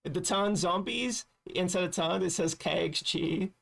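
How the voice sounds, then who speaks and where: A man streaming a game, his voice raspy and thick with gravelly fry. He sounds tired and rambles loosely, dropping casual swears as he chats. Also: high voice, measured pace, crowd noise. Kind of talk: casual gaming stream chat.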